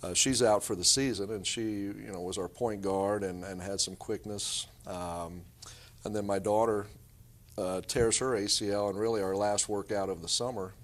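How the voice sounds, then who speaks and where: A middle-aged man with a lowish voice speaks as a coach being interviewed. He gives explanatory, conversational answers in the manner of a guest on a sports show. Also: steady tone, moderate pace.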